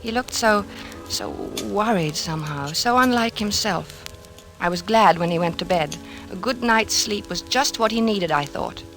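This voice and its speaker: An actress playing a patient, worried wife, gently questioning and coaxing in old-time radio drama style. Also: female voice, medium pitch, animated, normal speed, soft background music. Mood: reflective narration.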